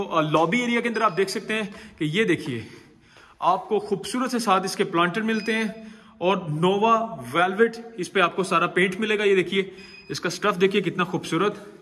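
Male property tour host, Pakistani Urdu accent, high voice, upbeat sales patter, echoey empty rooms. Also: moderate pace, a bit bright. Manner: upbeat salesman patter mixing English phrases. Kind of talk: walkthrough house tour narration. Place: empty house, large tiled rooms indoors.

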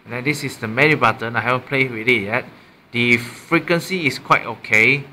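Malaysian man, casual gadget demo, sharp bright tone, stop-start delivery, faint noise on a handheld camera mic. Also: mid-range voice. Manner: halting, often repeating and correcting himself. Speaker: amateur product reviewer. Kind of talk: casual unscripted gadget demonstration. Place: small room indoors at a desk. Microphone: built-in mic of a handheld camera.

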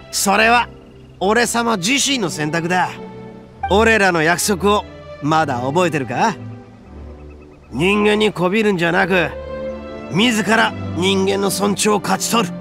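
Brief: a brash oni gang boss for a game, high for a man, gravelly, swaggering; soft game music behind. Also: takes it slowly, pauses often, heavily acted. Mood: proud. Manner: swaggering, rough-spoken, self-proud. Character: boastful brash young male oni gang leader. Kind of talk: game cutscene voice acting.

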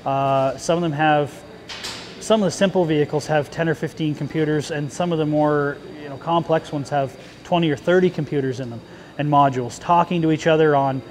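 A man in his thirties with a slightly higher than usual voice explains things to camera as a shop foreman. He talks plainly, with lots of ums and restarts. Faint garage ambience sits behind him. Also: steady tone, brisk, slight background noise. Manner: plainspoken, lots of fillers and restarts, gestures while talking. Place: car dealership service bay, indoors.

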